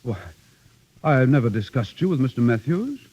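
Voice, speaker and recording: An actor playing a devoted, exacting acting teacher with precise, theatrical diction, in an old-time drama style. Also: male voice, medium pitch, big pitch swings, moderate pace, darkish tone, slightly rough. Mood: pleased, earnest. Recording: dull, muffled vintage recording.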